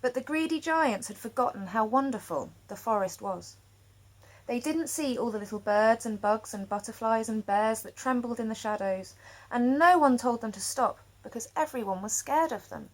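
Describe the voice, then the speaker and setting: A woman storyteller reading a children's picture book aloud expressively, with dramatic pauses. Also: fairly high pitch, poor audio.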